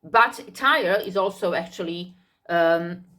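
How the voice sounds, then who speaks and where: A woman with a somewhat low voice explains points of English in a relaxed, unscripted lesson. She talks thoughtfully, often pausing to find the right word and circling back over a phrase before moving on. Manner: thoughtful, searching for words, repeats herself. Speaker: English teacher.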